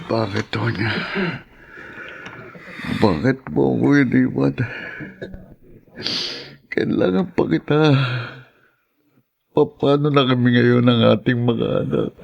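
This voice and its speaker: Creaky-voiced, grieving drunken father. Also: medium pitch, slow pace, pauses often, lo-fi sound. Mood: anguished, bitter. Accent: Filipino. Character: grief-stricken drunken widowed father, bitter and harsh to his daughter. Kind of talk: radio drama acting.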